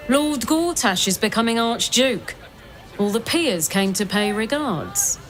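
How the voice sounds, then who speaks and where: An actress playing a stubborn, mocking city gate guard in a fantasy game, blunt and dismissive. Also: female voice, fairly high pitch, animated, unhurried, fairly bright tone, some chatter behind. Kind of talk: video game character acting.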